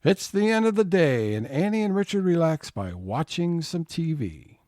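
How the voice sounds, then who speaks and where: A man's voice: fairly high pitch, very animated, moderate pace, a little mellow, slight background noise. A man narrating scene changes for a scripted audio comedy.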